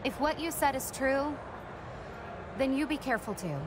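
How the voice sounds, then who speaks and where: A woman acting a popular, proud teenage girl in a game cutscene. Her voice is high, cool and self-assured, guarded yet softening, with clear noise behind it. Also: normal speed, lightly acted. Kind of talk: video game cutscene dialogue.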